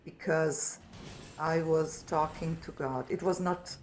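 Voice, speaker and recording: A woman in her fifties with a Serbian accent, calling in to a livestream and earnestly telling her story in halting, non-native English. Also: low-pitched, steady tone, a little hiss or hum. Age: 50s. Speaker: caller. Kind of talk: livestream call-in debate. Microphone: remote call audio.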